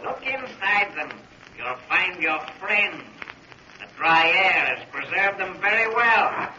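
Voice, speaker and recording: A man's voice: high voice, expressive, slow pace, pushed and bright, muffled recording, full character voice. An actor playing a vengeful, wealthy old man in a 1940s radio thriller, speaking formally and with precise, sardonic menace. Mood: coolly gloating. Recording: noisy, echoey 1940s radio recording.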